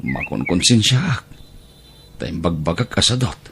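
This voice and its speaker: A man acting a wronged, remorseful Ilocano husband in an audio drama. His voice is low and sharply forceful, quick to flare with feeling. Noise sits faintly behind the recording. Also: sing-song, gravelly, heavily acted. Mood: remorseful, firm.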